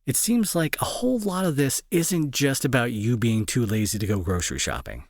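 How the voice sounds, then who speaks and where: Husky, sarcastic young bully played by a man. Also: mid-range voice, sing-song, moderate pace, no room sound, clean studio sound. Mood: exasperated, scolding. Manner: sarcastic, exasperated, sighing. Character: gruff young male bully, secretly worried and protective. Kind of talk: intimate audio roleplay acting, addressed to listener.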